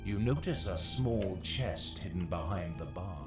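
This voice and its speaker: Grave game narrator, low, measured, dramatic, echoing, loud music. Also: male voice, lively intonation. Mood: grave narrating. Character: grave, omniscient fantasy dungeon master narrator.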